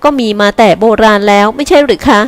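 A woman's voice: fairly high pitch, quick pace, in a large hall, lightly acted. A woman voicing a cheerful, confident young older sister who talks in a breezy, reassuring way, in a storybook reading. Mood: explaining.

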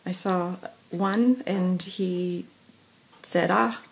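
Soft, breathy woman talking slowly. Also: fairly low pitch, fairly even intonation, poor audio. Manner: halting, many fillers and pauses, soft laughs. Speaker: professional singer vlogging. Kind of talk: casual personal vlog. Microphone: phone camera at arm's length.

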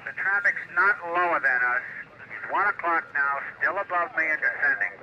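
Airline pilot, fairly high voice, understated, procedural, muffled radio filter, clear static noise. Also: male voice, normal speed. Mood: calm, puzzled. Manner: understated, matter-of-fact. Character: composed male airline pilot reporting strange traffic. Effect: cockpit radio filter. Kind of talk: radio procedure talk in a film. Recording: dull, noisy aircraft radio link.

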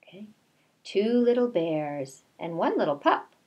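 A middle-aged woman, a kindergarten teacher, reading a picture book aloud in a deliberate, expressive way with asides to young children. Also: mid-range voice, highs cut off. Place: small bedroom at home, indoors.

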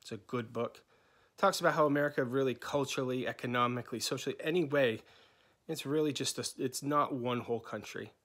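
A man in his thirties from New England introducing himself as a candidate, talking loosely with many pauses and restarts, filmed on a phone. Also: medium pitch, fairly bright tone. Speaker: candidate for chapter coordinator. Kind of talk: unscripted campaign introduction. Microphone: phone held at arm's length.

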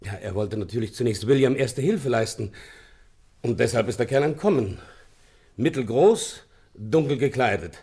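A police inspector in a German detective radio play. His voice is gravelly and a little husky, at a medium pitch. He reports in a polite, factual, official manner. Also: normal speed, warm tone. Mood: matter-of-fact. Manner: deferential, matter-of-fact.

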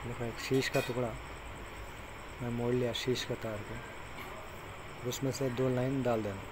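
Man, mid-pitched voice, casual fishing how-to, loud cricket chirps behind, phone mic. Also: level tone, measured pace. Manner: plain instructive, talks while tying knots. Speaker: fishing tutorial maker. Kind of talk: casual step-by-step demonstration. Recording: clear background insect noise.

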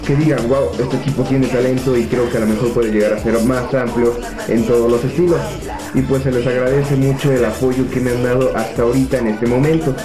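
A sixteen-year-old Mexican boy from Puebla, talking softly and hesitantly into a webcam in a small office, with many fillers. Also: mid-range voice, steady tone, fairly quick. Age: teens. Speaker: young reggaeton artist. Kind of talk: self-interview to webcam. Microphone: webcam at desk. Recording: echoey room with music behind.

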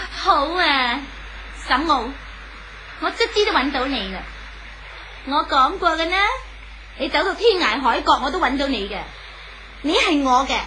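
A woman's voice: high voice, expressive, unhurried, pauses often, pushed and bright, heavily acted. An actress playing an obsessive, vengeful, taunting woman in a 1970s radio drama, with a Hong Kong Cantonese accent. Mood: gloating, possessive. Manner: taunting, theatrical. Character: obsessive, vengeful jilted woman, mocking and possessive. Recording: noisy old radio drama recording.

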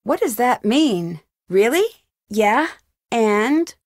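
Game heroine: curious young amateur sleuth, conversational and questioning, on the higher side, very dry recording. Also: female voice, very animated, slow pace, no echo. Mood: probing. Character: inquisitive, friendly young female amateur sleuth. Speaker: game protagonist. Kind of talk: video game dialogue lines.

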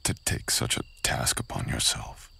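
Man playing a very deep, gravelly samurai captor. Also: bright, forceful tone, slight background noise, full character voice. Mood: sardonic, taunting. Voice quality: husky, gravelly, breathy with whispering. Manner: slow, taunting, intimate menace. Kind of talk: boyfriend ASMR audio roleplay.

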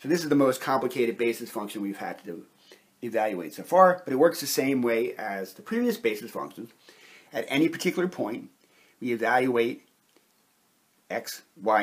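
A man with a slightly husky voice giving a methodical, step-by-step explanation as a science instructor at a whiteboard. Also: mid-range voice, lively intonation, normal speed. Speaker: chemistry instructor.

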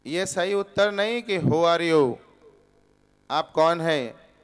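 A man in his forties giving a spiritual discourse in a temple hall, teaching slowly and deliberately with rhetorical questions into a stand microphone. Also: a little high, clear, resonant tone. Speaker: preacher. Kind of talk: Gita discourse. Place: temple hall with seated audience, indoors.

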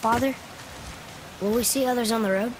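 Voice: medium pitch, normal speed, background noise, natural voice. A performer playing an earnest, inquisitive young boy, a warrior's son, in a cinematic video game. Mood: curious. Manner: inquisitive, earnest, plain short questions.

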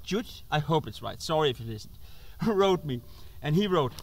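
A man's voice: fairly high pitch, big pitch swings. A man in his thirties with a Danish accent, talking informally to camera as a host and feeling his way through English. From Denmark. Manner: halting, searching for English words. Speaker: host and musician. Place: outdoors on an open roadside.

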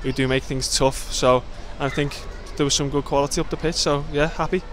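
A man's voice: fairly high pitch, fairly even intonation, fairly quick, fairly bright tone, soft background music. A man in his twenties, a footballer giving casual answers in a post-match interview. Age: 20s. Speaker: professional footballer. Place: outdoors in a stadium car park. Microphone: handheld interview microphone. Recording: outdoor recording with background noise.

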